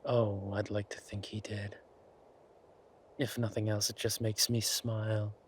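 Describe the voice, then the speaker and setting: A man playing a villainous king in an audio roleplay, silky and amorous yet quietly furious, with deliberate, mock-polite speech. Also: on the low side, flat delivery, slight background noise, lightly acted. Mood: playfully menacing.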